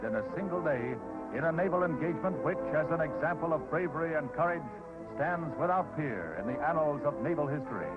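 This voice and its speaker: A man's voice: a little high, animated, brisk. A man with an American accent delivers dramatic scripted newsreel narration in a solemn, declamatory way. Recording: tinny vintage newsreel soundtrack, loud music.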